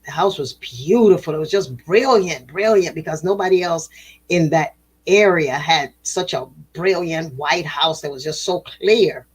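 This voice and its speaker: A woman with a low, husky voice telling a traditional tale in a relaxed, conversational way. Her delivery is animated, with sudden jumps in pitch, little laughs and quick self-corrections as she goes. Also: poor audio. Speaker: spiritual storyteller, host. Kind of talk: casual oral storytelling.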